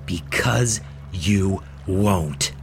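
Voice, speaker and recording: An actor playing Van Helsing, a bitter, taunting vampire hunter, in a theatrical radio drama style. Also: male voice, sits low, extremely slow, gravelly, noisy background, full character voice. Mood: goading.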